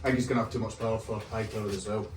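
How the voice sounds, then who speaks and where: Brisk man, upbeat. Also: lowish voice, level tone, distant mic, over music. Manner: upbeat, tags lines with "eh". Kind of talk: casual chat. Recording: roomy, off mic, faint background noise.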